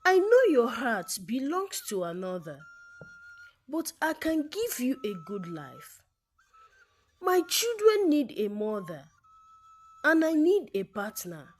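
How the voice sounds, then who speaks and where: A woman voicing a kind, sincere widower in a folktale, earnest and gentle. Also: high-pitched, very animated, takes it slowly, pauses often, soft background music, full character voice.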